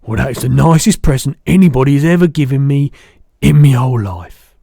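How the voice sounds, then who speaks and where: A man voicing a big, soft-hearted, proud giant in a children's storybook, booming and spluttering with mangled rustic grammar. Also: big pitch swings, normal speed, dry recording, studio quality. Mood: overjoyed. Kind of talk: animated children's storybook reading.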